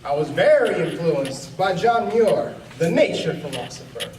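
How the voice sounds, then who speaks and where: A man's voice: fairly high pitch, very animated, unhurried, darkish tone, a little hiss or hum. A man acting a hearty reformed hunter turned nature defender, a past president, in an amateur radio play. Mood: earnest, reminiscing. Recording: echoey, distant mic, music behind.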